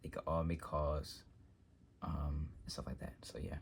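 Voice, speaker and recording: A man's voice: rumbling low, level tone, measured pace, gravelly, slightly rough. A man giving an unscripted, casual walkthrough of a technical setup, rambling and often trailing off with filler phrases. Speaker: tech vlogger demonstrating an office phone system.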